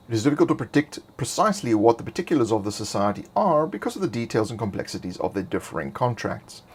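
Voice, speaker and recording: Low, husky male lore narrator. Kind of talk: scripted narration of fantasy game lore.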